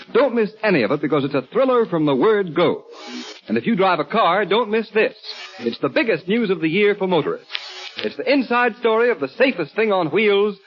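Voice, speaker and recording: An American man announcing from a script in emphatic, dramatic 1930s radio style. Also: high voice. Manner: dramatic, emphatic salesmanlike delivery. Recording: muffled 1930s radio broadcast recording.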